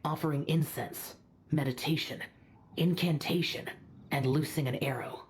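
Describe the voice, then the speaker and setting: A man voicing a stern, aloof, youthful immortal demon hunter in a video game, speaking gravely and formally. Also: a little high, fairly even intonation, normal speed, heavy background noise, lightly acted. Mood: grave, reserved. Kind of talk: video game character dialogue.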